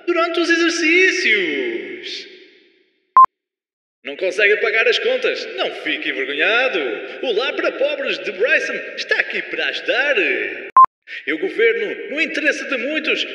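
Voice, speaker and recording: Dystopian PA authority figure male actor: menacing, declamatory, piercingly bright, distant loudspeaker sound with heavy reverb. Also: very high-pitched, heavily acted. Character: stern, menacing authoritarian public-address announcer of a dystopian city. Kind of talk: dramatized propaganda and police announcements. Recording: echoey, distant, long hall reverb.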